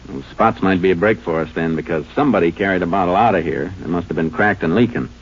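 Low-voiced, methodical radio-drama Texas Ranger. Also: male voice, fast, breathy edge. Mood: intent, measured. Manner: terse, businesslike, matter-of-fact. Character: steady, methodical Texas Ranger investigator, adult man. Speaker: lawman and narrator. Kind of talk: 1950s radio crime drama acting. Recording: muffled, noisy 1950s radio recording.

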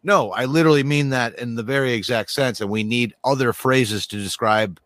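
A man in casual conversation, rambling with many restarts and a steady stream of "like". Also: mid-range voice, fairly quick, dry recording. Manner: rambling, restarts often, says "like" a lot.

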